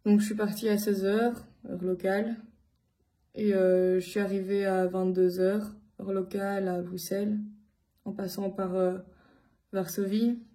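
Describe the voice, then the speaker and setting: A woman from Belgium giving a casual personal account to her followers, speaking in a halting way with many filled pauses. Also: medium pitch, flat delivery, dark tone. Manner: hesitant, halting delivery with frequent long filled pauses. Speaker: vlogger addressing followers.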